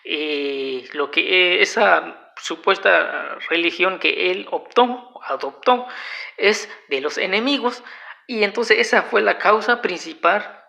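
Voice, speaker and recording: A man, not a native speaker, giving a halting, unscripted talk at home that keeps circling back to restate its points. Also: high-pitched, gravelly, bright, forceful tone. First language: a language other than Spanish. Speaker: video lecturer. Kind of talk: unscripted explanatory monologue. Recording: dull lo-fi home recording.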